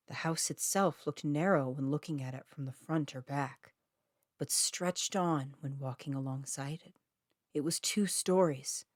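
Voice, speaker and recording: A woman with a low-pitched voice narrates an eerie short story of her own. The reading is scripted, and the sound is dry with very little room in it. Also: dry recording.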